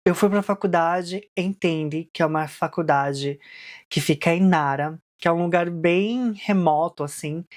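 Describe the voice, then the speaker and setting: Low-voiced woman telling her story. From Japan. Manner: reflective, casual, frequent filler words. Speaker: vlogger. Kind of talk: personal storytelling vlog.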